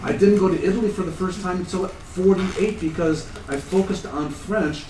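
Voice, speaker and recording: A man in his sixties from the United States speaks at a conference in a relaxed, self-deprecating way, often sounding amused. The mic sits some distance from him, so his voice comes across roomy and slightly remote, with faint background noise. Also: high-pitched, moderate pace. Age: 60s. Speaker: conference presenter. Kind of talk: academic conference talk, anecdotal. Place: lecture room at a conference. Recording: echoey room, distant mic.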